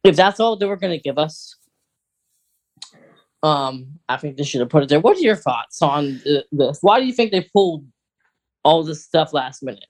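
A podcast co-host talks in a casual, chatty way with a medium-pitched voice. The delivery is hesitant, as if thinking out loud while speculating as a fan. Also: animated, moderate pace, pauses often.

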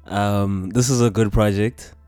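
A man from South Africa co-hosting a podcast, giving a measured, thoughtful opinion in a laid-back way. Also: fairly low pitch, fairly even intonation, warm tone, no echo, soft background music. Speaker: podcast co-host. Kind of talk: casual chat.

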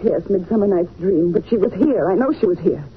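An actress playing a distraught, insistent new widow in a melodramatic 1940s radio drama. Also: female voice, fairly low pitch, lively intonation, a little fast, close to an everyday voice. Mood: anxious, accusing. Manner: melodramatic, pleading, emphatic. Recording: scratchy, narrow-band old radio recording.

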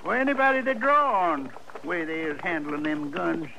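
A man's voice: on the high side, normal speed, gravelly, pushed and bright, muffled recording. An actor playing a cranky, boastful old frontier man with a rural Western American drawl in a 1950s radio western. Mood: querulous. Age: older. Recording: hissy old radio recording.

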